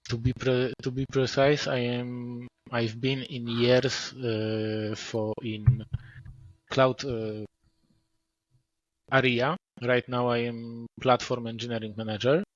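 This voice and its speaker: A man, a guest author speaking in a measured way, often pausing to rephrase. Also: medium pitch, little pitch movement, no echo, highs cut off. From Poland. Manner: measured, pauses and rephrases mid-sentence. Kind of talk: casual podcast interview.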